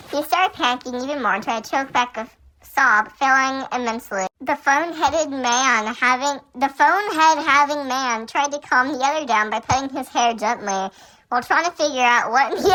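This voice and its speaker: A woman with a high, bright voice reads a story aloud through a voice changer. She is casual and sarcastic, often tripping over her words and dropping in dry asides. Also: low-quality recording. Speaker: fan fiction reader.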